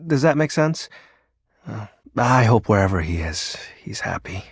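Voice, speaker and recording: Game role: brooding young shadow lord, theatrical and vulnerable, very lively intonation, dry studio sound. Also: male voice, on the low side, measured pace, creaky, breathy edge, no room sound, exaggerated voice. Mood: wistful, downcast. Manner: theatrical, pompous dark proclamations slipping into awkward, vulnerable mumbling. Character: brooding, lonely, melodramatic young male shadow being, edgy internet roleplayer. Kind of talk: video game character acting.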